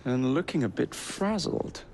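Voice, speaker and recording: An actor playing a wry young adventurer in a video game, with an English accent and a teasing, breezy manner. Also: male voice, medium pitch, animated, measured pace, darkish tone, a little hiss or hum. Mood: teasing, confident. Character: cocky, wry young male gang adventurer. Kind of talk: video game cutscene acting.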